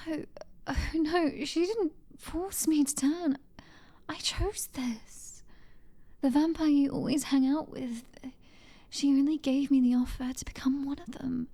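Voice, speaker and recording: A woman with a British accent playing a young, clingy, devoted vampire sister in an ASMR roleplay, speaking in a soft, breathy, halting whisper. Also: sits high. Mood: proud, elated. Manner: halting, tender, pleading.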